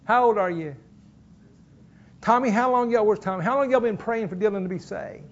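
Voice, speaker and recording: Pastor, middle-aged man, folksy storyteller from the pulpit, high voice, slightly muffled lo-fi recording. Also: fairly quick. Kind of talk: sermon. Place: church.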